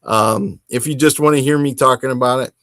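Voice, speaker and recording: Man, mid-pitched voice, podcast host, casual solo talk, halting and rambling, self-deprecating, dry close sound. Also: fairly even intonation, fairly bright tone, no room sound. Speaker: host of a tabletop wargaming podcast. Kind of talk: casual solo chat to camera.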